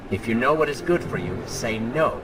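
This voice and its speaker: Sneering, sardonic dark spirit, voiced by a man. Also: medium pitch, expressive, normal speed, close to an everyday voice, rough recording. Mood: mocking. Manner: sneering, dry sarcasm. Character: sardonic, selfish dark inner spirit, mocking and goading. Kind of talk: villainous video game voice acting. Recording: loud game music over the voice.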